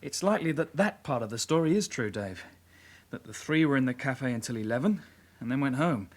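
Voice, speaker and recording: A man's voice: medium pitch, lively intonation, a little fast, lightly acted. A man playing a young detective constable in a radio drama, reporting in a clipped, matter-of-fact way. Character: young male detective constable, plain-spoken loyal side-kick.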